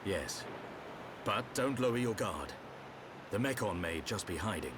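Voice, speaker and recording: An actor playing a grave, composed warrior leader in a video game, speaking in a measured, commanding, formal way. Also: male voice, fairly low pitch, animated, background noise. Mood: grave, determined. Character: resolute, battle-seasoned male warrior leader, grave and composed. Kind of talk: dramatic video game cutscene acting. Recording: music behind the voice.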